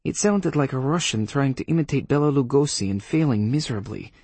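A man's voice: medium pitch, expressive, brisk, darkish tone, slightly rough, no echo, voice pushed up. A man narrating an audiobook, voicing a haughty, sarcastic witch woman with a Polish accent. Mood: indignant. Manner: dignified, sarcastic, coolly diplomatic.

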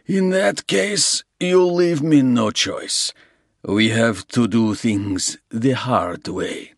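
A man voicing a smug, menacing Russian gangster who talks slowly and mocks his rival. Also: medium pitch, dry recording, clean studio sound, voice pushed up. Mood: gloating. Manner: slow, gloating, mocking. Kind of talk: audiobook character reading.

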